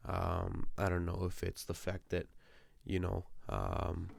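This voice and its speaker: A man's voice: low voice, monotone, slow pace, creaky. A young man hosting a solo sports podcast, talking casually and ranting, swearing freely, sounding like he is getting over a cold. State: recovering from a cold. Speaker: podcast host. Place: small compact room.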